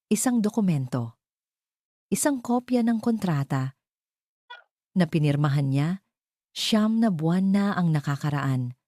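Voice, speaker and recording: A woman narrates a scripted love story with dramatic phrasing. Her voice sits very low and has a dark, mellow tone. The recording is dry and close, with no room sound. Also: no echo.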